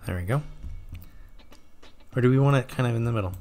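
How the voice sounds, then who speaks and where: A man casually commentating his gameplay, thinking aloud with frequent pauses and self-corrections, his throat a little sore. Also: mid-range voice, a little mellow, soft background music. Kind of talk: casual let's-play commentary.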